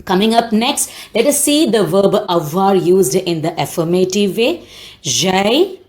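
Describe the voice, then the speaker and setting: A woman's voice: medium pitch, expressive, normal speed, darkish tone. Woman teaching, repeating points for emphasis. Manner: didactic, repeats phrases and key points for emphasis. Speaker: French language teacher. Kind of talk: explanatory language lesson.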